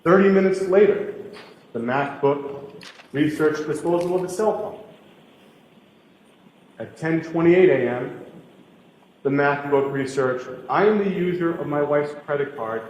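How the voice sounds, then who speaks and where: A male police witness reads evidence aloud in court. His voice is a little high for a man and rings with heavy echo and long reverb in a big room. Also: a little slow, pauses often, darkish tone, slight background noise. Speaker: state trooper testifying in court. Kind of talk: reading evidence aloud in testimony. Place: large indoor courtroom.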